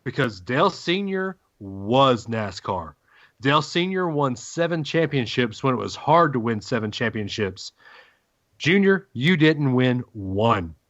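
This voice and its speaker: A man co-hosting a sports podcast, ranting emphatically with dramatic pauses. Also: mid-range voice, very animated, a bit bright, no room sound, highs cut off. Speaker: podcast co-host. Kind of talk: casual sports podcast banter.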